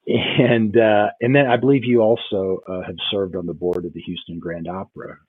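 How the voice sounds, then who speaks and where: Low, husky man on a phone line. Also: level tone, moderate pace. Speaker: podcast host. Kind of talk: conversational interview.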